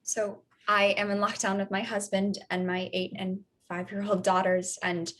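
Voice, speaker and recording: A woman's voice: mid-range voice, flat delivery, moderate pace, fairly bright tone, lightly acted. A woman playing a composed working mother of young children in a documentary theater monologue. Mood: measured, earnest.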